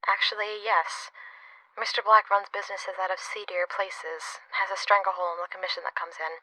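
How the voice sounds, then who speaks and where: An actress playing a matter-of-fact crew member in an audio drama. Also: female voice, medium pitch, little pitch movement, normal speed, bright, forceful tone, dry recording, lightly acted, poor audio.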